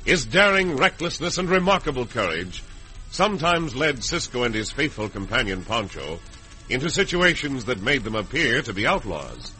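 A man's voice: mid-range voice, sing-song, moderate pace, pushed and bright, low-quality recording. A man acting as a grand, declamatory announcer and narrator in an old-time radio western drama. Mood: storytelling. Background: organ music.

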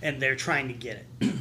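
A man explaining things casually in a group discussion, halting and often repeating his words as he goes. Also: medium pitch, sharp tone, a little hiss or hum. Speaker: podcast co-host.

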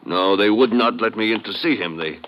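A man's voice: on the low side, brisk, fairly bright tone, slight background noise, lightly acted, poor audio. A man playing a self-important, fussy fishing fleet owner, in an old radio drama. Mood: aggrieved. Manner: fussy, complaining, repeats himself. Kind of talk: 1950s radio drama acting.

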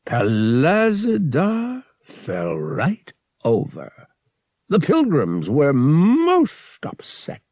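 Smug giant alien priest for audiobook, played by a male narrator: raised pitch, slow, booming, swooping lines. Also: huge pitch swings, darkish tone, dry recording, studio quality, heavily acted. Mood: smug, amused. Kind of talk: audiobook character dialogue.

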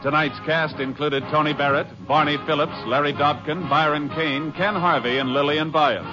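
Male announcer, fairly high voice, scripted old-time radio delivery over clear music, muffled recording. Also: fairly even intonation, normal speed. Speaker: show announcer.

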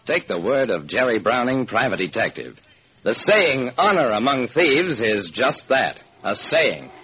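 A man's voice: fairly low pitch, very animated, a little slow, pushed and bright. An American man delivering theatrical, hard-boiled first-person detective narration in the style of old-time radio. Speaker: narrator and solo performer of a detective radio series. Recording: noisy old radio recording.

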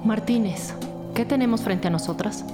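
A mid-pitched woman detective, played by an actress, takes charge in a businesslike, decisive way. This is scripted crime podcast drama, and music is clearly heard behind her. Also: moderate pace, lightly acted. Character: female lead police detective, businesslike, decisive and dedicated.

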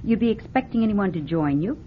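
A woman's voice: mid-range voice, brisk, a little hiss or hum, lightly acted. A woman as a curt small-town motel clerk. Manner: curt, flat and unwelcoming. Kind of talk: 1970s radio drama acting. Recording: tinny old radio broadcast.

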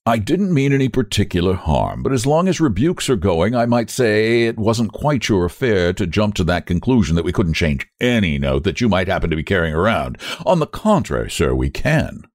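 A sniffy shop clerk, as voiced by a man reading a story talks down to a customer with sarcasm. His pitch swings widely between lines. The sound is dry and close. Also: big pitch swings, normal speed, hardly any pauses, no echo. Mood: sarcastic. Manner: sarcastic, condescending.

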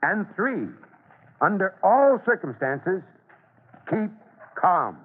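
A steady, duty-minded fire chief from an old radio serial. His voice is husky and higher than most men's, with lively, deliberate phrasing. The sound is thin and narrow, like an aged broadcast. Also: heavily acted. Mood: instructive, earnest. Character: calm, authoritative fire chief investigating, duty-minded man. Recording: tinny, band-limited old radio recording.

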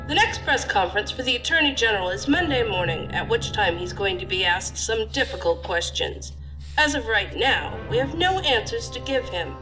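A woman's voice: medium pitch, lightly acted, low-quality recording. A woman playing a stern, critical deputy director of a federal agency in a science fiction audio drama, formal and cutting.

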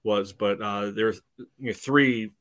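Man, lowish voice, halting delivery full of filler phrases, casual sports podcast analysis. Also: steady tone, a bit bright. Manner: halting, peppered with 'you know'.

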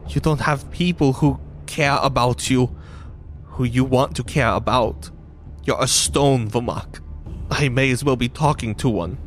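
A man's voice: medium pitch, a little slow, soft background music, voice pushed up, lightly acted. A man voicing a young Goliath girl in a tabletop game, bitter, grieving and scornful in an emotional, confrontational way.

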